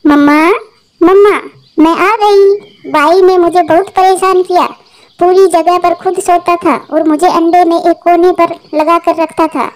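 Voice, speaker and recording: A woman's voice: very high voice, steady tone, a little slow. A woman playing a childish, complaining little baby bird in a Hindi cartoon. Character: little baby bird, whiny, hungry, tattling.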